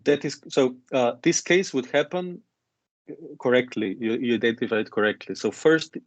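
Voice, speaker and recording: A man presents on a conference call, working through a procedure step by step and often restarting his sentences. His voice is mid-pitched and the sound is dry and close. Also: expressive, normal speed, dry recording. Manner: careful, step-by-step explaining with restarts. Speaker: meeting presenter.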